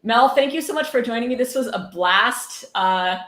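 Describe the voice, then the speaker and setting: A woman's voice: a little high, normal speed, over a noisy crowd. A woman hosting a livestream, chatting casually and reading aloud in an informal, sweary way. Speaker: livestream host. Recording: roomy, echoing livestream audio.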